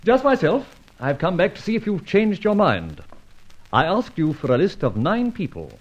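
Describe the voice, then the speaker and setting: Suave, swooping radio adventurer. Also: male voice, high-pitched, sing-song, moderate pace. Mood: taunting, confident. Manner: sardonic, theatrical, mock-courteous. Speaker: lead and narrator of radio serial. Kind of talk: old radio drama acting. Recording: muffled old radio recording.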